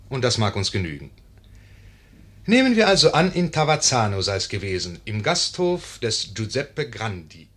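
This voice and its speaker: A wry, urbane storyteller narrates an old radio comedy. The voice is fairly low and moves with animated intonation. He is conversational and confiding, savouring the suspense. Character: wry, urbane male storyteller addressing listeners. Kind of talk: 1950s scripted radio play narration.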